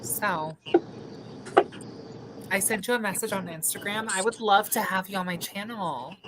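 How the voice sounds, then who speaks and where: A woman's voice: mid-range voice, unhurried, very noisy. A woman hosting a livestream show, steering the conversation to wrap up a guest segment. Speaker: podcast host.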